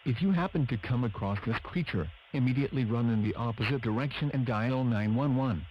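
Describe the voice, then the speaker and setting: A man with a flat, synthetic-sounding delivery reads formal scripted announcements as a weather radio broadcaster. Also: medium pitch, brisk, lo-fi sound, a little hiss or hum.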